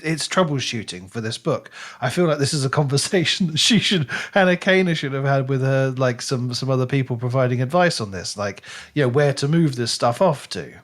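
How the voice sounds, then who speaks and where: A man's voice: medium pitch, a bit bright. A man co-hosting a casual book discussion podcast, speaking in an emphatic, analytical way. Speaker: podcast host.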